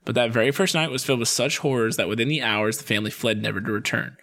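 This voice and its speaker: A man's voice: mid-range voice, steady tone, fast, gravelly, sharp tone. A young American man co-hosting a podcast, telling stories in a casual, slangy, playful way that mixes scripted reading with asides. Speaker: podcast co-host.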